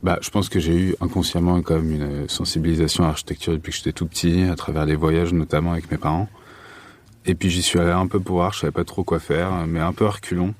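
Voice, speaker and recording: Very deep, even-toned French man. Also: quick pace, no echo. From Paris, France. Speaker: architect interview guest. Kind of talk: casual interview answers.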